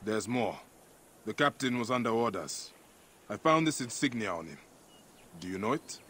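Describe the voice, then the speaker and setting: An actor playing a solemn, resolute ancient Egyptian warrior in a dramatic game cutscene. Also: male voice, on the low side, animated, normal speed, fairly bright tone, a little hiss or hum. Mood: grim, resolute.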